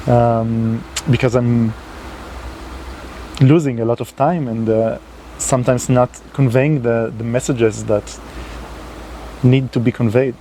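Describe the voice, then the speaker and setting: Slow, hesitant man with a mellow voice. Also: medium pitch, slight background noise, rough recording. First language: not English. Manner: slow, hesitant, with many fillers and restarts. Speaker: channel host. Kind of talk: unscripted solo talk to camera.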